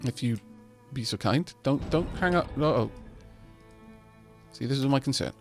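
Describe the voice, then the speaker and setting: Lively Welsh-native man narrating gameplay. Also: mid-range voice, moderate pace, faint music behind. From Wales. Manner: chatty, talks to his settlers, self-deprecating. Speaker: let's play host. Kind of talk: casual gameplay commentary.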